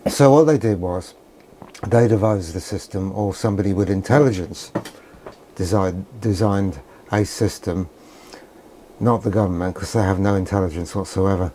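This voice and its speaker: Older British male, lowish mellow voice, sardonic grumbler, unscripted vlog delivery. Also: moderate pace, a little hiss or hum. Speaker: presenter of a vintage radio video. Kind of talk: rambling unscripted vlog commentary.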